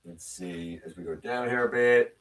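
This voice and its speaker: A man hosting a live stream, reading viewer comments and answering them in casual, opinionated chat, his voice sometimes a little raspy. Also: moderate pace, far from the mic, low-quality recording. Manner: opinionated, ranting with rising pitch when worked up.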